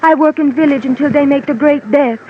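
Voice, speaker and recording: An actress playing a gentle young island woman in an old-time radio drama, speaking soft, cooing, halting broken English. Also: high voice, natural voice. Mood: grave, simple. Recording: dull vintage radio recording, faint noise.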